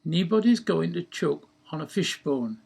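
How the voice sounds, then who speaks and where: A man's voice: on the high side, animated, unhurried, vocal fry, a little mellow, breathy edge, heavily acted. A man voicing a sceptical, pedantic friend in a story, questioning in a dry, wordy, precise way.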